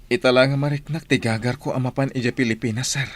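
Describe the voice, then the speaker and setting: A man's voice: mid-range voice, steady tone, fast, lightly acted. An actor playing an eager, tender man preparing for a journey in an Ilocano radio drama.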